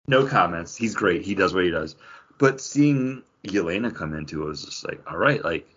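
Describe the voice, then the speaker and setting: Male podcast co-host, deep-ish voice, casual film talk, hedging, slightly muffled lo-fi sound. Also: a bit bright, slightly rough.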